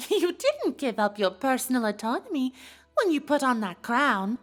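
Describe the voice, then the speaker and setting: A woman's voice: sits high, very animated, normal speed, fairly bright tone, faint music behind, exaggerated voice. A woman voicing a refined, dramatic, confident unicorn pony socialite in an audio story, with genteel, theatrical delivery. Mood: playful, assured. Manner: theatrical, genteel, advising with affectionate endearments. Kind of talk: scripted character voice in audio story.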